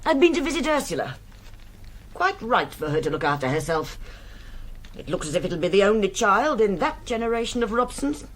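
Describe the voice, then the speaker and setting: An actress playing a haughty, disapproving middle-aged English country matron, imperious and pointed, in a period radio drama. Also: female voice, mid-range voice, very animated, a little fast, slight background noise, lightly acted. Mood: haughty, prim.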